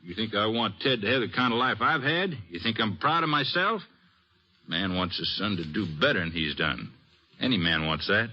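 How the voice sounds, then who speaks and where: An actor playing a restless American gambler father in an old-time radio western, blustery and regretful, with a halting, folksy delivery. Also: fairly low pitch, big pitch swings, lo-fi sound, slight background noise. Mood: indignant, resolved.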